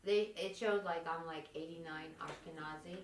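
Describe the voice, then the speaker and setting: Blunt lower-voiced New York woman. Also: fairly even intonation, moderate pace, reverberant, far from the mic, slight background noise. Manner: blunt, teasing, matter-of-fact. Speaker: podcast guest, energy healer. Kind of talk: casual chat.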